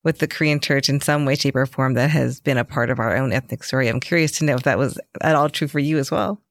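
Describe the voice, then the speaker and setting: A woman's voice: rumbling low, fast, dry recording, studio quality. A woman from the United States hosting an interview, listening attentively and giving affirming responses. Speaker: podcast host. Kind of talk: interview conversation.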